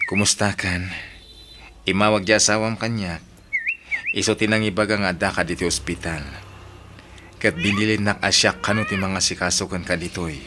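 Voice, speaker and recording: Man acting deep-voiced, forgiving Ilocano husband. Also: fairly even intonation, normal speed, pauses often, noisy background, close to an everyday voice. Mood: concerned. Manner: gentle, earnest, consoling. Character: forgiving, devout husband and gentle father. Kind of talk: Ilocano radio drama acting.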